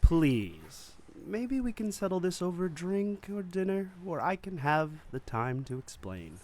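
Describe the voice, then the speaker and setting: Man acting as a high-voiced, pleading underworld manager. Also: big pitch swings, measured pace, a little mellow. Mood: nervous pleading. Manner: pleading, defensive. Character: evasive, placating male spice-den manager in trouble. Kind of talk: fan script table read, dramatic acting.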